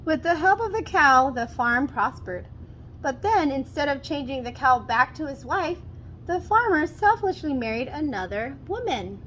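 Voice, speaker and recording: Higher-voiced woman reading a story aloud. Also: expressive, moderate pace, a bit bright, a little hiss or hum, low-quality recording. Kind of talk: expressive children's story read-aloud.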